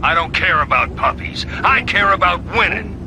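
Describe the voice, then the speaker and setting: High-voiced, bossy tough racing coach. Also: male voice, animated, moderate pace, heavy background noise. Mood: stern, impatient.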